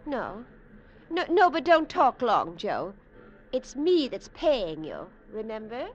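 High, swooping, flirtatious tipsy widow. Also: female voice, sing-song, slow pace, heavily acted. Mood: wistful, clingy. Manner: gushing, stammering, breathy laughs. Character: flirtatious, tipsy, needy wealthy American widow. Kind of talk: 1940s-50s radio drama acting. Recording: dull old radio recording with music.

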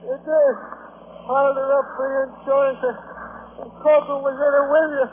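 Gasping dying sailor, very high-voiced. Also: male voice, little pitch movement, narrow, tinny audio. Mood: bitter, accusing. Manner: halting, gasping, broken phrases. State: weak, short of breath. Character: dying shipwrecked sailor, bitter and accusing. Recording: noisy, band-limited old radio recording.

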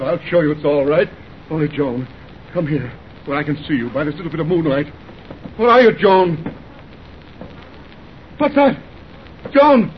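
An actor playing a nervous, guilty bridegroom who bluffs through his fright, in an old-time radio suspense drama. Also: close to an everyday voice. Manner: bluffing bravado that gives way to fright. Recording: muffled, noisy vintage radio recording with rumble.

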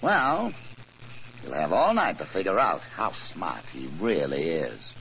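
A man's voice: low voice, wildly swooping pitch, measured pace, vocal fry, heavily acted. An American man playing a cold, mocking gangster boss in a 1940s radio crime drama, tough and slangy. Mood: mocking menace. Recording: vintage 1940s radio recording, faint noise.

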